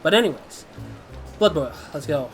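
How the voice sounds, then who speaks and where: A man's voice: a little high, expressive, moderate pace. Stuffy-nosed man doing gaming commentary. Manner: chatty, rambling, laughs often. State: slightly stuffy from a cold. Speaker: gaming YouTuber. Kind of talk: let's play commentary. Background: game music.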